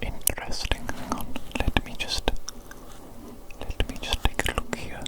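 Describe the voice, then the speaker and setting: A woman voicing a doting evil doctor in an ASMR medical roleplay, in a breathy, unvoiced whisper, soothingly repeating short phrases. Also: unhurried, lightly acted. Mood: soft, instructing. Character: doting evil doctor examining the creature they created. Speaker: ASMR performer.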